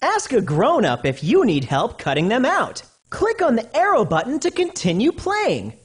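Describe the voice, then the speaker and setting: A man voicing an upbeat young cartoon rabbit who guides children through an educational game, bouncy, encouraging and clearly enunciated. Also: very high voice, huge pitch swings, normal speed, heavily acted. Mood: friendly, instructive. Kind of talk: animated educational game voice acting.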